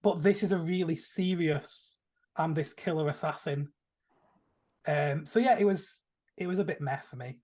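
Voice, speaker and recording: Man, slightly high voice, podcast panellist, casual film discussion, conversational, opinionated. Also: normal speed, darkish tone.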